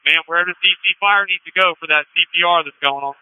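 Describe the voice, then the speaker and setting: A man's voice: on the high side, fast, smooth, no room sound, phone-quality sound. A male emergency responder talking in terse radio procedure over a police channel. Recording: dry police radio transmission.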